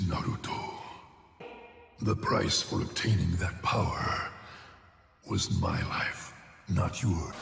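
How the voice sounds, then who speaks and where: A man voicing an ancient giant fox spirit in a dubbed anime, with a gruff growl and a wry, resigned, weighty delivery. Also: a little hiss or hum, exaggerated voice. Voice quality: deep gravelly growl. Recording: cavernous reverb under loud music.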